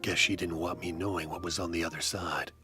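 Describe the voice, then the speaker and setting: A man with a very low, deep voice narrates a hardboiled detective tale. His delivery is dry and deadpan with a wry edge, and faint music plays underneath. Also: brisk.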